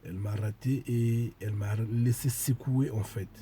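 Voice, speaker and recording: A man preaching a sermon to his followers while congested with a cold, speaking deliberately and emphatically and repeating key phrases. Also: mid-range voice, steady tone, warm tone, slight background noise. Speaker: spiritual preacher.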